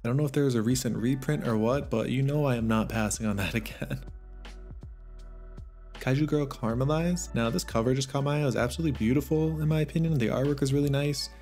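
A man's voice: medium pitch, fast talker, faint music behind. A man narrating a casual vlog about the books he picked up, chatty and enthusiastic, with a voice that is a little worn. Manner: chatty, enthusiastic, laughs softly. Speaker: manga vlogger.

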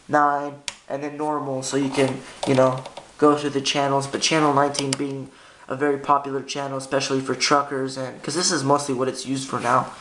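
A man talks through his hobby gear in a casual, off-the-cuff way, pausing and correcting himself as he goes. His mid-pitched voice stays quite flat, with little melody. It is an amateur camera recording made in a room, with noticeable noise and small clicks. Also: low-quality recording. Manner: hesitant, self-correcting, informal. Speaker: hobbyist demonstrating a radio. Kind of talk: casual unscripted gear walkthrough. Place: indoor room by a window. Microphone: handheld camera's built-in microphone.

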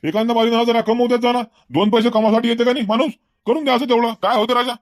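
Blunt, impatient male cartoon bazaar buyer played by a man, high-pitched, folksy and nagging, recorded dry. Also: steady tone, fast, no echo. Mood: insistent bargaining. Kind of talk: comedic cartoon dialogue in colloquial rural Marathi.

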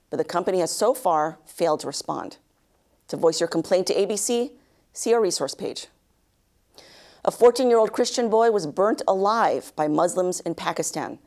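A woman in her thirties anchoring a news bulletin, reading a script with measured, even delivery in a studio. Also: on the low side. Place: studio news set, indoors.

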